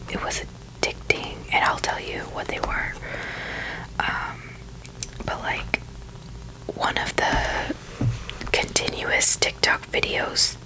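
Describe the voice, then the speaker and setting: A woman's voice: on the high side, poor audio. A young woman whispering a casual, rambling ASMR chat in a hesitant way, with phrases that trail off. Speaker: ASMR video creator.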